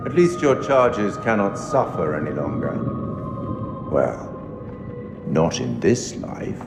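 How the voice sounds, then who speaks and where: A man's voice: low voice, big pitch swings, creaky, full character voice. An actor playing an enigmatic, sardonic male curator in a video game, speaking formally and theatrically to the player as he judges their choices. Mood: mocking, solemn. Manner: measured, formal, wryly sardonic. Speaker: narrator addressing the player. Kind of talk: theatrical scripted game narration. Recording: long hall reverb, music underneath. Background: music.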